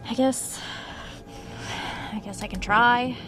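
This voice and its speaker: A woman's voice: a little high, steady tone, slow, music in the background. An actress playing an uneasy woman writer investigating a haunted house, conversational, wry and self-doubting, in a horror audio drama.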